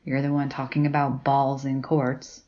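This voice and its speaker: A woman's voice: extremely low pitch, flat delivery, moderate pace, warm tone, dull audio. A woman playing a blunt, sardonic, bossy schemer in an audio drama. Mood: bossy, determined.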